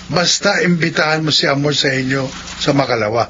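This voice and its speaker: Cocky, higher-voiced young suitor. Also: male voice, brisk, bright, forceful tone. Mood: coaxing. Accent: Filipino. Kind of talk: radio drama acting. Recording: muffled lo-fi radio audio, clear noise.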